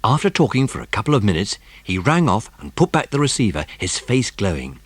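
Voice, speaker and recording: Deep, expressive male storyteller. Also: fairly quick, husky, no echo. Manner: expressive, dramatic. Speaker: narrator. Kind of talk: scripted storytelling narration for children.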